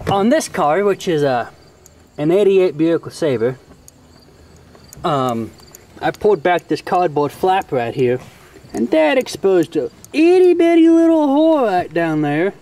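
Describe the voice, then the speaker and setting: A man's voice: on the high side, warm tone, a little hiss or hum. A man giving a casual hands-on tutorial in a folksy, plain-spoken way, as host of a homemade how-to video. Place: outdoors in and around a car.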